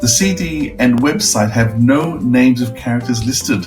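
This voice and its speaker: A man's voice: medium pitch, a little slow, a little mellow, soft background music. A man co-hosting a review podcast, moving between reading out notes and chatting casually. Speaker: podcast co-host.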